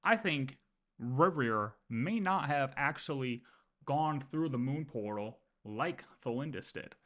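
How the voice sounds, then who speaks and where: A man's voice: medium pitch, lively intonation, slow pace, fairly bright tone. A man livestreaming casual game lore commentary, thinking aloud and often repeating his words as he works through ideas.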